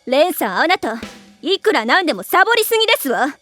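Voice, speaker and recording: A woman voice-acting a haughty, strict high school young lady in an anime, speaking in prim, pompous, overly polite phrases with a sharp tongue. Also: big pitch swings, fast talker, soft background music. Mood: furious, scolding. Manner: prim, pompous ojou-sama diction.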